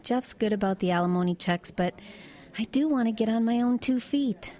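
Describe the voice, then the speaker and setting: A woman's voice: fairly high pitch, moderate pace, dark tone, natural voice. An actress playing a blunt, nosy small-town woman in an audio drama, with a pressing, plain-spoken manner. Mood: casual. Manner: pressing, plain-spoken, exasperated folksy banter.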